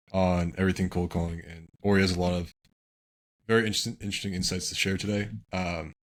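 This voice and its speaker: A man hosting a casual interview, introducing his guest in a laid-back, slangy way. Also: low-pitched. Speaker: podcast host.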